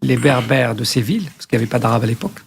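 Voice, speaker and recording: A man's voice: medium pitch, very fast, creaky, breathy edge. A man speaking as a guest on a radio discussion, listing historical facts with many hesitations and fillers.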